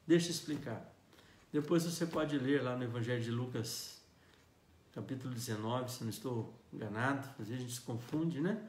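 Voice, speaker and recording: A Brazilian man in his fifties, a pastor preaching a sermon earnestly, reading scripture with emphasis and slowing down on key words. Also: medium pitch. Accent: Brazilian Portuguese. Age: 50s. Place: church sanctuary. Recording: echoey church hall.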